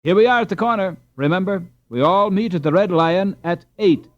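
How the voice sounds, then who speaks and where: A man's voice: high-pitched, moderate pace, dull audio, voice pushed up, lightly acted. A man voicing a confident boy captain in a storybook, polite but commanding among his friends.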